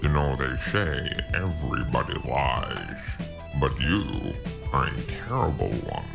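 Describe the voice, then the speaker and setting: A genteel, eccentric gentleman spy in a noir audio drama, with an extremely deep, gravelly voice. He is pompous and teasing, and his theatrical lines rise and fall in big swoops over guitar music. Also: big pitch swings, heavily acted. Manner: pompous, theatrical, drawn-out flowery phrasing. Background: plucked guitar music.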